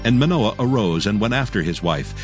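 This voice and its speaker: A man narrating dramatized scripture as a solemn, measured storyteller. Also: fairly low pitch, lively intonation, over music.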